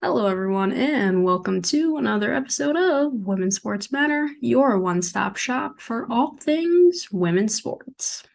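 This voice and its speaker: A young woman with a hoarse voice, hosting her own podcast in casual, chatty solo talk from her dorm room, with self-deprecating jokes. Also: fairly high pitch, animated, unhurried, hardly any pauses, a little mellow. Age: young adult. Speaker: podcast host and founder. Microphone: desk mic at a computer.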